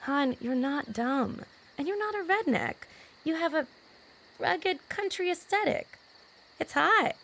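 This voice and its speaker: A woman's voice: high voice, expressive, measured pace, fairly bright tone, slight background noise, full character voice. A woman playing a frank, troubled teenage girl in a naturalistic audio drama, speaking candidly and casually. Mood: affectionate. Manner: candid, colloquial, mildly profane. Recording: faint outdoor night ambience with crickets.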